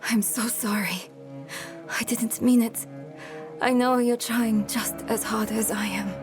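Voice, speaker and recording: A young woman playing an earnest, troubled traveler in a dramatic video game, soft-spoken and hesitant. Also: fairly high pitch, fairly even intonation, a little slow, close to an everyday voice. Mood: anguished. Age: young adult. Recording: loud background music.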